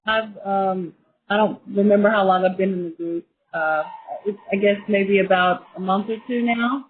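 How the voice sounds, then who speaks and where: A woman's voice: medium pitch, normal speed. A woman calling into a group phone conference, chatting casually. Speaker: caller on a group call. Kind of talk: casual chat. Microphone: telephone conference line. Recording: tinny phone line.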